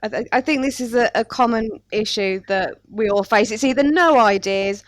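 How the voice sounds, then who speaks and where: Female podcast host, fairly high voice, dry close sound, chatty with a wry edge. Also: moderate pace, no echo. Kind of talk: casual podcast chat.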